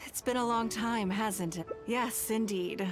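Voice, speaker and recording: Composed, elegant auntie played by an actress, music behind. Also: female voice, fairly high pitch, close to an everyday voice. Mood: gracious, interested. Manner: measured, gentle, genteel phrasing. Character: composed, elegant, kindly woman, an indulgent auntie figure. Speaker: character in a video game. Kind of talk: scripted game voice acting.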